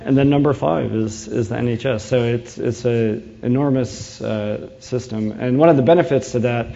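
A man's voice: medium pitch, moderate pace, creaky, warm tone, lo-fi sound. A man from New England with a New England American accent, giving a conference talk with frequent fillers and restarts. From New England, USA. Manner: hesitant, many "uh" fillers and restarts. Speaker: conference speaker, engineering manager.

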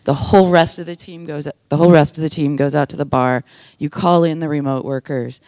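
A woman with a very low voice asks the panel a question from the audience. She talks loudly and chattily, with a joking edge. She is on a handheld mic that cuts out. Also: steady tone, a little fast. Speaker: audience member asking a question. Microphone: handheld mic that cut out.

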